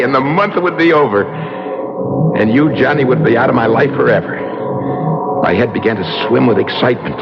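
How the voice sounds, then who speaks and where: An actor playing a haunted, delusional man, speaking in a fraught, halting, confessional way in a radio drama. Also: a little high. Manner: fraught, halting, building to excitement. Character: Sam, haunted, delusional man clinging to feverish hope. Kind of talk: 1970s radio drama acting, intense confessional narration. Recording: dull lo-fi old radio, loud music.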